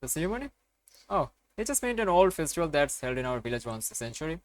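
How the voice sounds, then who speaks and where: A man's voice: fairly high pitch, sing-song, fast talker. Man voicing an evasive, reassuring mother. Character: anxious, evasive mother soothing her daughter.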